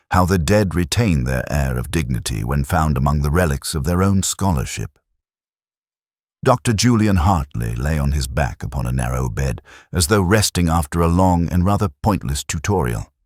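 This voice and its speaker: A man narrating a scripted first-person detective story in a measured, literary, world-weary manner. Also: rumbling low, very animated, dry recording, clean studio sound.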